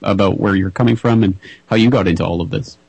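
A man hosting a radio interview, talking in a measured, wry way with frequent "uh" restarts. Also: low-pitched, vocal fry, darkish tone, husky, dry recording, rough recording. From Canada. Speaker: radio show host.

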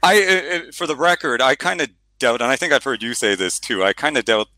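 A man's voice: medium pitch, fairly quick, bright, forceful tone, dry recording. A middle-aged man, a novelist, talking casually about his book in an interview with many fillers and restarts, then reading a passage aloud. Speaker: novelist guest.

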